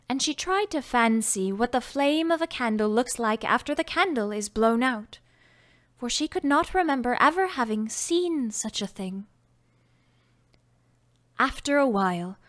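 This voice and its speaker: A woman reading a children's classic aloud as an audiobook, gentle and expressive, sometimes dropping to a whisper. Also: high voice. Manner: gentle, expressive storytelling, drops to whispers at times. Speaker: audiobook narrator. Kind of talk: audiobook narration.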